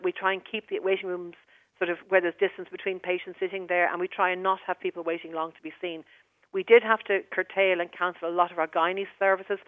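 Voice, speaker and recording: A brisk Irish woman speaks quickly down a tinny, phone-like line. She uses an official, reassuring tone and keeps things moving. Her voice sounds dry and close, with no room around it. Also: on the low side, no echo. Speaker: hospital director of midwifery. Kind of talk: phone-in radio interview.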